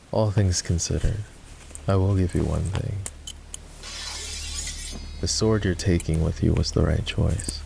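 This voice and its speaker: A man voices a gruff fantasy knight in an ASMR roleplay. His voice is very low and heavily creaky, with a sardonic, commanding edge as he needles the royal in his charge. There is a faint noise in the background. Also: a little mellow, full character voice. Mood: gruff, businesslike.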